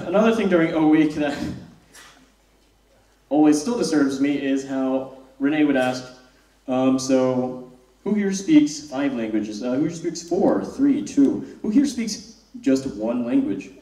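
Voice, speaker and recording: A man's voice: mid-range voice, lively intonation, a little slow, long pauses, dark tone. Wry young man, echoey distant hall. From born in South Korea, raised in the US. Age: young adult. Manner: wry and self-deprecating, with hesitant restarts. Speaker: student speaker. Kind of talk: personal spoken-word talk on stage. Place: stage in a hall with a live audience. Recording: roomy hall, mic from far off.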